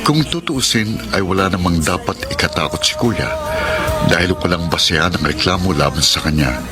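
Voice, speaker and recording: A man's voice: low voice, animated, moderate pace, loud music behind the voice. A man playing a bitter, blunt younger brother in a radio drama, scornful and emphatic. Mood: grave, narrating. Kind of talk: Filipino radio drama acting.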